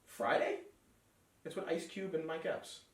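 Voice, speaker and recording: A man's voice: on the high side, sing-song, measured pace, fairly bright tone, roomy sound, recorded from a distance. A young man with a New York accent, hosting a podcast and joking around in casual, rambling chat. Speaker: podcast host.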